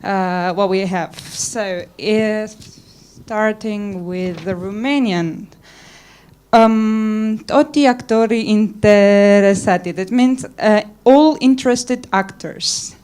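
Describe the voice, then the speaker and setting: A woman's voice: mid-range voice, a little mellow, clear, resonant tone. A woman reading out notes to a conference panel, careful and amused as she works through foreign words. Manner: slow, careful, amused by pronunciations. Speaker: rapporteur.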